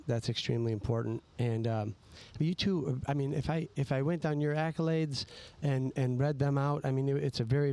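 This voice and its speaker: Halting, rambling male podcast host. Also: medium pitch, creaky, mellow. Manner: halting, rambling, circles back mid-sentence. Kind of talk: casual conversational interviewing.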